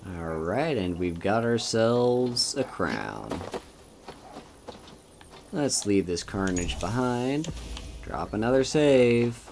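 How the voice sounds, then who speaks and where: A man streaming a video game, chatting casually in a relaxed, soft-spoken and musing way as he plays. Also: on the low side, slow pace, pauses often, slight background noise. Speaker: VTuber streamer. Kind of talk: casual gameplay commentary. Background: game music.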